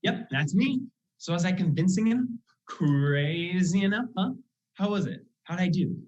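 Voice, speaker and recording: A teen performer plays a manic wannabe actor with wildly swinging pitch. The delivery is theatrical, excitable and pushy, as in a stage comedy. The recording sounds slightly dull and muffled. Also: normal speed, close to an everyday voice. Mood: pushy, eager. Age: teenager. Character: manic, unpredictable aspiring actor posing as crazed gunman. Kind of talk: school one-act comedy stage acting.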